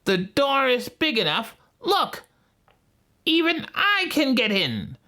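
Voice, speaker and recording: A storyteller voicing a wicked old witch from a fairy tale, scolding and bossy, with shrill, drawn-out commands. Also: huge pitch swings, bright, forceful tone, heavily acted. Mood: sly, impatient.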